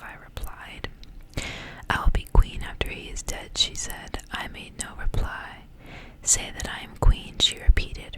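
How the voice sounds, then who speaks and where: A woman speaking in a breathy, unvoiced whisper, in the intimate style of an ASMR reading. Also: poor audio. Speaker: ASMR creator reading stories aloud. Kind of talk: whispered ASMR storybook reading with casual asides.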